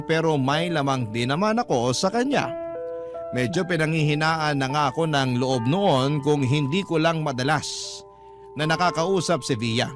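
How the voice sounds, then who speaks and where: Man, radio storyteller, slightly high voice, scripted sentimental narration, music behind. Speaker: radio storyteller reading a letter-sender's story. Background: background music.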